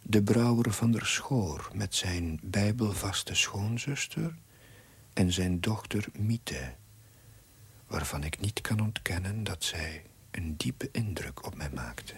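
An actor playing a pensive, self-doubting village curate, narrating his diary in a measured, hushed way in a TV period drama. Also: low-pitched, lively intonation, slightly rough, exaggerated voice. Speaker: narrator, a young village priest.

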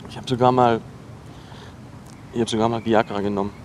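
An actor playing a defensive, awkward young dropout in a naturalistic radio drama, halting and repeating himself as he talks. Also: lowish voice, flat delivery, natural voice. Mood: insistent, confessional. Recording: noisy location recording with ambient hum.

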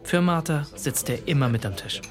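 A man narrating a literary radio drama from a script, measured, in short poetic phrases. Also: on the high side, animated, over music.

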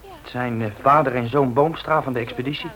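A man acting a young errand boy in a radio drama. He sounds uneasy and respectful, hesitant in his phrasing, with a mid-pitched voice. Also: fairly even intonation, brisk, a bit bright, lightly acted, rough recording. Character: hesitant, deferential young male errand boy, conscience-troubled. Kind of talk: Dutch radio play acting.

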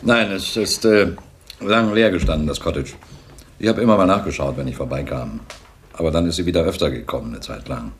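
A man acting a taciturn gamekeeper and old friend in a radio drama, talking in short, halting, guarded phrases. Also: deep voice, slight background noise, close to an everyday voice. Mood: reluctant, wistful.

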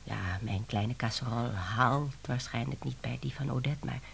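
An actress playing a wry, harried middle-aged woman editor in a Dutch radio play, expressive and exclamatory. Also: extremely low pitch, moderate pace, vocal fry, slight background noise. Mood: reassuring.

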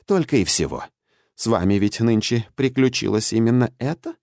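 A man voicing a defiant old imprisoned witch in an audiobook, hoarse and croaking, with a caustic, mocking, argumentative way of speaking. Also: medium pitch, expressive, normal speed, a little mellow, no echo, studio quality, natural voice. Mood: scornful, mocking. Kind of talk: audiobook character acting.

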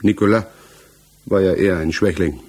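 Troubled male defendant, husky, low-pitched, hesitant, evasive, 1960s radio drama. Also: a little mellow. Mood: subdued recollection. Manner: halting, searching for words, defensive. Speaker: actor in a radio crime drama. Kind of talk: 1960s German radio play acting. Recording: 1960s mono radio production.

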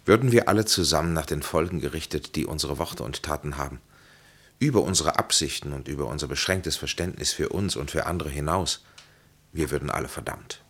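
An actor playing a grave, authoritative physician in a German radio play, speaking in measured, emphatic, formal phrases. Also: male voice, very deep voice, husky, full character voice. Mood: solemn. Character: grave, authoritative physician and counsellor, solemn and consoling.

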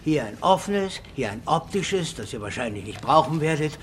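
A man's voice: fairly high pitch, very animated, moderate pace, a little hiss or hum. An actor playing a matter-of-fact, affable arms dealer who explains like a practical salesman in a radio crime drama.

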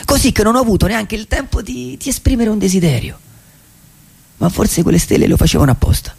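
A man playing a wistful, poetic vagabond dreamer in a radio theatre monologue, reflective and husky, with deliberate pauses. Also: sits high, expressive, a little mellow, exaggerated voice. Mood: dreamy, wondering. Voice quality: husky, gravelly. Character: wistful, poetic male vagabond dreamer who loves cinema.

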